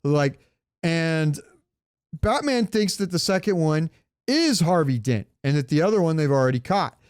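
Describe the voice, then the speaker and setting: Clear, slightly high-voiced guy chatting enthusiastically. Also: expressive, normal speed, a little mellow, no echo, clean studio sound. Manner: enthusiastic, profane, slangy. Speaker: podcast co-host. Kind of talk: casual chat about comics, movies and games.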